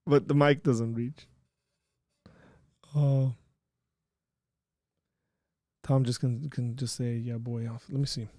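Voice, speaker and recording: A man hosting a casual livestream, chatting loosely and often trailing off into a quiet mumble. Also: medium pitch, a little slow, dry recording, studio quality. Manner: relaxed, rambling, trailing off quietly. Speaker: livestream host.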